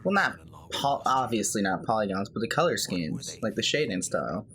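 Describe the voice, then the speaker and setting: A man's voice: on the low side, big pitch swings, normal speed, a bit bright. A man giving casual co-commentary on a game in blunt, short remarks with colloquial grammar. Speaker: gaming video co-commentator. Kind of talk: casual chat over game cutscenes.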